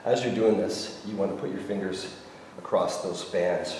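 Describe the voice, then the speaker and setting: A middle-aged man teaching massage, chatty and coaching with quick instructions. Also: deep voice, fairly even intonation, a little mellow. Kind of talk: hands-on teaching demonstration. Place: large echoey teaching room. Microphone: far handheld camera. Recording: echoey hall, far from the camera, noisy.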